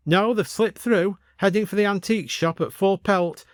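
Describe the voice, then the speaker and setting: A man voicing a gossipy village woman giving excited, colloquial running commentary in an audio story. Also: high-pitched, moderate pace, no room sound, studio quality, pitched above the performer's own voice, natural voice. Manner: breathless gossipy chatter, colloquial.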